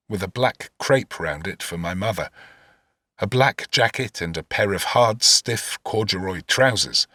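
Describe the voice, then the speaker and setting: A man narrating a classic novel in the first person, in an expressive literary reading style. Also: on the low side, no room sound. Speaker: audiobook narrator.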